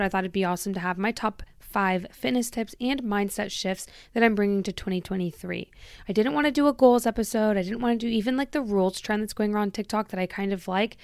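Woman, podcast host, casual solo chat; candid and rambly, slightly tired, smooth clean voice on a close, dry mic. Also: mid-range voice, no echo. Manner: chatty and candid, heavy use of "like", self-interrupting. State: slightly tired, recovering from a long illness.

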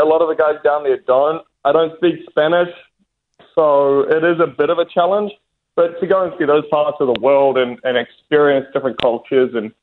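A young man from New Zealand with a New Zealand accent, a golfer answering interview questions casually, with many fillers and restarts. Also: mid-range voice. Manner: hesitant, many fillers and restarts, laughs easily. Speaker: professional golfer, guest. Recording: tinny phone line.

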